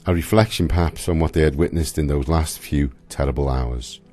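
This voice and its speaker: A man narrating a radio drama from a script in a solemn, measured manner. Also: rumbling low, dark tone.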